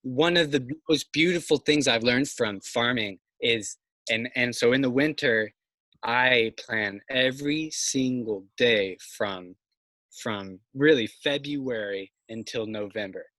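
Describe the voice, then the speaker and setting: A man in his thirties speaks over a video call from outside his house, his voice bright and forward with a dry, close sound. He talks slowly and thoughtfully, stopping often with fillers and restarts as he works through an idea. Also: medium pitch, dry recording. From Massachusetts. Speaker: farmer, interview guest. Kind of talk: casual video call conversation. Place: outdoors by a house's siding. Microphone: video call, laptop or phone mic.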